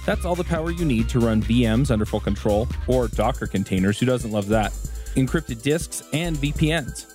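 A man's voice: expressive, normal speed, a little mellow, faint music behind. A man reading a sponsor ad for a podcast in an upbeat, practiced way. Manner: smooth, upbeat pitch. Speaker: ad reader. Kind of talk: read sponsor ad.

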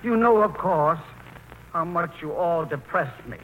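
A pompous older man in an old radio play. His high voice swoops with cold, exact politeness. The recording is muffled and noisy. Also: animated, measured pace. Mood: pompous, commanding. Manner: pompous, precise, formal. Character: imperious, coldly polite older man, pompous brother-in-law. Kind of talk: 1940s radio acting.

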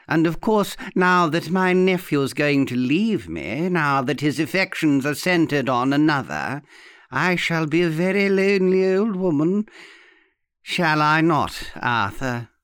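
A man's voice: on the high side, very animated, a bit bright, no echo, studio quality. A man voicing a sly, theatrical old aunt in an audiobook, suave and falsely sweet with a mocking edge. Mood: mock-sentimental, theatrical. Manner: suave, smooth, drawn-out delivery with satirical edge. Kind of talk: dramatic audiobook character reading.

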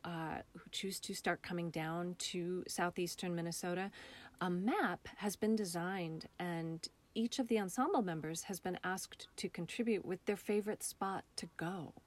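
An American woman speaking as a guest artist in a reflective interview, thoughtful and halting as she searches for words. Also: on the low side, a little mellow. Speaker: guest, local artist and theatre maker.

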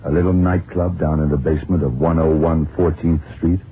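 A man's voice: a bass voice, flat delivery, fairly quick, breathy edge, exaggerated voice. An actor playing a cool, self-assured detective in a radio crime drama, unruffled and wry as he explains things. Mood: cool, dry. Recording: muffled noisy vintage radio drama recording.

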